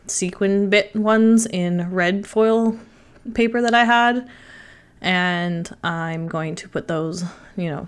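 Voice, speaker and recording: A woman's voice: fairly low pitch, smooth. Chatty woman with a stuffy cold. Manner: chatty, with many "like" and "um" fillers. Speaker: card-making channel host. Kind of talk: casual crafting tutorial voice-over.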